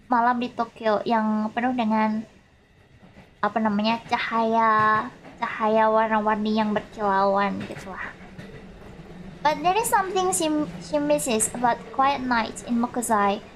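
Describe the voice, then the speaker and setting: High-pitched Indonesian woman livestreaming. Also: a bit bright, a little hiss or hum, low-quality recording. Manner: stumbles over English words, repeats herself, giggles at own mistakes. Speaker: livestreamer playing and reading a visual novel. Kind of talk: casual livestream chat and read-aloud of game text.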